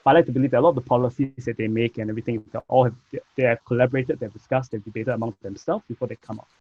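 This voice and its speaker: A man's voice: medium pitch, steady tone, very fast, husky, no echo. A man of twenty-four with a Singaporean accent, chatting casually in a group discussion, recorded slightly dull and dry. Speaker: first-time voter and party volunteer on a discussion panel.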